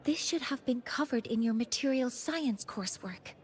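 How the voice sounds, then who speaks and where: Woman voicing a formal, measured professor. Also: fairly high pitch, expressive, soft background music, close to an everyday voice.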